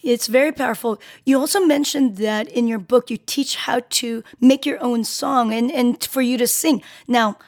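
Raspy-voiced woman hosting a radio show. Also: a little high, moderate pace, hardly any pauses. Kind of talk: casual interview chat.

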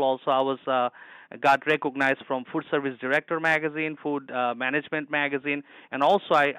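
A man's voice: on the high side, sharp tone, clean tone, dry recording, low-quality recording. A man from Bangladesh with a Bangladeshi accent, a hospital chef telling eager, rambling stories in an interview. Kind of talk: interview answers.

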